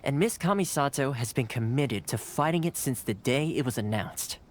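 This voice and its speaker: An actor playing a courteous, playful yet earnest young retainer in a video game, speaking in polite, formal phrases. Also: male voice, medium pitch, lively intonation, moderate pace, background noise, natural voice. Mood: solemn, explanatory. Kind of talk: scripted game cutscene dialogue. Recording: music behind the dialogue. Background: game music.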